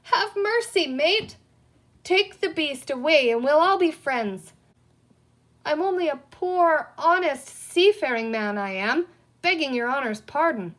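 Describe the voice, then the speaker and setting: A boisterous little pirate captain from a storybook, acted out by a woman. The voice is pitched high and loud, with theatrical, exclamatory seafaring talk. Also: lively intonation, measured pace. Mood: mock-terrified pleading. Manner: theatrical, exclamatory, old salty sailor talk.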